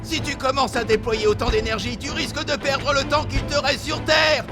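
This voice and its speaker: Woman doing high anime character, emotional n defiant, theatrical, music under it. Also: fairly even intonation, moderate pace, natural voice. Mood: scolding, worried.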